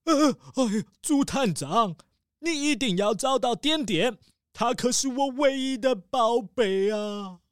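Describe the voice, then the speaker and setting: An actor playing a fretful, excitable rich cruise-ship tycoon who dotes on his daughter, with frantic, dramatic pleading in a children's audio drama. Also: very high-pitched, takes it slowly, clean tone, no echo, clean studio sound, heavily acted. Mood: panicked. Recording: music bed under the voices.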